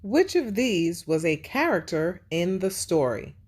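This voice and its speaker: A woman's voice: lowish voice, sing-song, unhurried, darkish tone, breathy edge. A woman hosting a children's read-aloud and lesson, speaking expressively and addressing young listeners directly.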